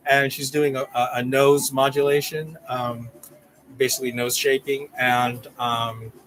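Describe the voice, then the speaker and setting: A man's voice: medium pitch, flat delivery, takes it slowly, a bit bright, a little hiss or hum, poor audio. A man hosting a casual livestream, rambling with restarts and reading out viewer names. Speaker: channel host.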